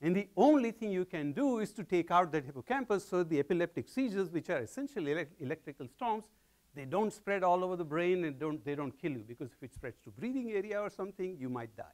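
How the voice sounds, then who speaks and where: Animated man lecturing in a hall. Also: sits high, fast talker. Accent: Indian. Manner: animated, gesturing while pacing the stage. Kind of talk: public science lecture. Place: large lecture hall with audience.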